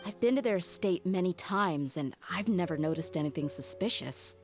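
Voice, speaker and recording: A woman's voice: on the low side, animated, moderate pace, soft background music, lightly acted. A woman playing a loyal, frank mercenary in a video game, with a firm and resolute manner. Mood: thoughtful. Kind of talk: video game character acting.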